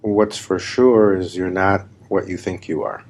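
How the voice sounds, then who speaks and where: A man leading a guided meditation as a spiritual teacher, speaking in deliberate, emphatic, repeated phrases with long pauses. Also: low-pitched, steady tone, darkish tone, a little hiss or hum. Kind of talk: slow guided meditation talk. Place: live gathering.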